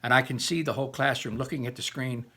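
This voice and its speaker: A man reading a children's novel aloud like a teacher, with animated, dramatic emphasis and explanatory asides to listeners. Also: medium pitch, fast, creaky, slightly rough.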